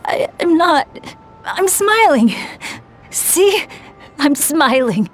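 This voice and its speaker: A woman voicing a brave, earnest adventurer boy in a video game, halting and full of emotion. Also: sits high, very animated, slight background noise, exaggerated voice. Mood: tearful.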